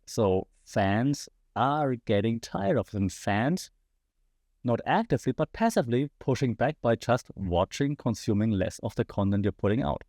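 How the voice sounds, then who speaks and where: A man's voice: medium pitch, lively intonation, unhurried, a little mellow, dry recording. German guy ranting on his podcast, fired up, asks the listener stuff, voice a bit worn, dead dry sound. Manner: passionate, rhetorical questions to the audience. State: slightly losing his voice. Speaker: podcast host. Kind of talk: opinionated solo commentary.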